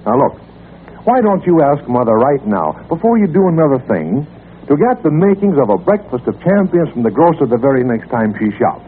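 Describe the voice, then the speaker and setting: A man's voice: medium pitch, very animated, fairly quick. A man with an American accent announcing and narrating in an emphatic, dramatic 1940s radio style. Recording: tinny, noisy 1940s radio recording.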